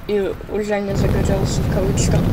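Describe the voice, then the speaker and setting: A woman talks casually over her gameplay, tossing in ironic little jokes. She speaks at a middle pitch into a home desktop mic. A loud wash of noise runs under her voice the whole time. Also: monotone, brisk. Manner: chatty, joking asides with ironic "in quotes". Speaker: gameplay video creator.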